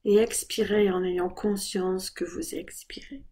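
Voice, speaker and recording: Woman, guided meditation, soft husky-breathy tone, relaxed timbre, calm, unhurried, gentle. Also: mid-range voice. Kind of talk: guided body scan meditation.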